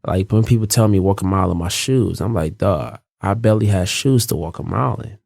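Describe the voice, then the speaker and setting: Low-voiced laid-back man from Los Angeles. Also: brisk, creaky, a little mellow, dry recording, studio quality. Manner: laid-back, rambling, frequent fillers and restarts. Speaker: guest. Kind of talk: casual interview chat.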